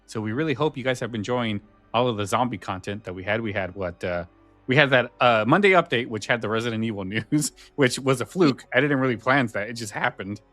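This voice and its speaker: A man's voice: medium pitch, expressive, a little fast, fairly bright tone, no echo, soft background music. A man chatting casually as a podcast host, rambling and a little hesitant. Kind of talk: casual chat.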